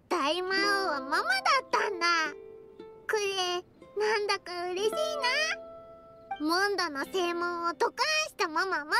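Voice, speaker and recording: An actress playing an innocent, adoring little girl in an anime game, with a childlike, bubbly way of talking. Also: extremely high pitch. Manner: childlike, speaks of herself by name. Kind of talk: Japanese anime game voice acting.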